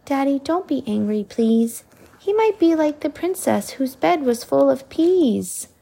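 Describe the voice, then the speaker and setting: A woman voicing a brave little girl princess in a storybook, high and sweet. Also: lively intonation, unhurried, creaky, mellow, full character voice. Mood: earnest.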